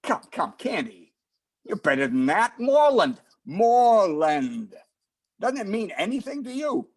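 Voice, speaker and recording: A man's voice: sits high, very animated, a little slow, full character voice. A man playing a police lieutenant in a 1940s-style radio drama, teasing and a little condescending. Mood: explaining. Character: male police lieutenant, wry, fond and patronizing.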